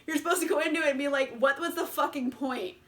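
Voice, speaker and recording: A woman's voice: sits high, quick pace, a bit bright. A young woman casually reviewing books in a chatty vlog, laughing often and swearing freely. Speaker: book reviewer.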